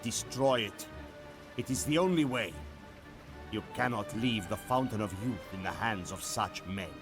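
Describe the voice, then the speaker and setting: An actor playing a grave Ottoman warrior in a video game cutscene, measured and insistent, over dramatic music. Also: on the low side, natural voice. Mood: grave, persuasive. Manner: measured, solemn, persuasive.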